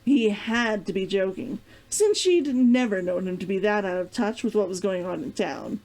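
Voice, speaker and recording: A woman reading fiction aloud as an expressive storybook narrator. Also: mid-range voice, moderate pace, poor audio. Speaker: reader of a fiction read-along.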